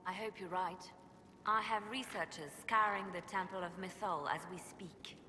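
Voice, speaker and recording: An actress playing a poised, guarded advisor in a dramatic game scene, speaking formally and with composure. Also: female voice, fairly low pitch, moderate pace, sharp tone, slight background noise. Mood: guarded, hopeful. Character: poised female spymaster-like advisor, devout and guarded. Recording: echoey hall, clear background ambience.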